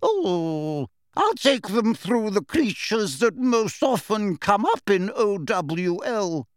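Brief: man doing a jolly old-school witch teacher, high and slow, bouncy pitch. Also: sing-song, no room sound, clean studio sound, full character voice. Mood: hearty. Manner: hearty, brisk, upper-class. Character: brisk hearty middle-aged witch teacher. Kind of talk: audiobook character voice.

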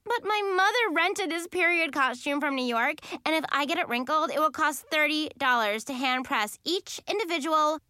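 A woman's voice: on the high side, animated, moderate pace, hardly any pauses, dry recording, clean studio sound, lightly acted. A woman voicing a snooty young girl who complains in a whiny, haughty, indignant way, in a children's audiobook. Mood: haughty protest.

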